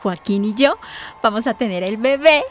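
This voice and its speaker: A woman's voice: a little high, expressive, moderate pace, slight background noise, lightly acted. A Colombian woman playing a giddy, lovestruck teenage schoolgirl in a radio soap. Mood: giddy, proud. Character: giddy seventeen-year-old pregnant schoolgirl in love.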